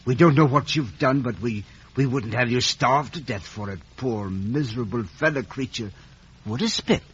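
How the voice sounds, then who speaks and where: A kindly, simple blacksmith, fumbling humbly for words in a husky middle-pitched voice. It has the dull sound of a vintage radio play. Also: male voice, moderate pace, a bit bright. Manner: hesitant, stammering, humble. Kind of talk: 1950s radio drama acting. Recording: muffled vintage radio broadcast.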